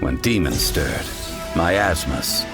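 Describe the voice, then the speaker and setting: A man playing a grave narrator of ancient legend in a video game, measured and dramatic, in storybook style. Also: extremely low pitch, animated, loud music behind the voice, exaggerated voice. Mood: solemn, ominous.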